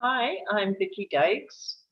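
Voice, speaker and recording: A woman's voice: medium pitch, expressive, takes it slowly. A mature woman with a Canadian accent casually introducing herself over a lo-fi online call.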